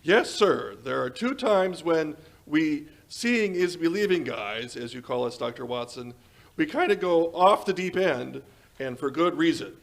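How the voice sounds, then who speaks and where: A man plays an old-time radio announcer from the 1940s. His voice sits a little high, with polished, emphatic phrasing and the eager push of a sponsor's pitchman. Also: lively intonation, measured pace, vocal fry. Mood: selling. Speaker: announcer and host.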